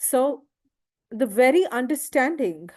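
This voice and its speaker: A woman giving a devotional lecture to a temple audience, slow and often asking questions. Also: high-pitched, lively intonation, a little mellow. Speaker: guest lecturer. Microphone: handheld mic, failing at times.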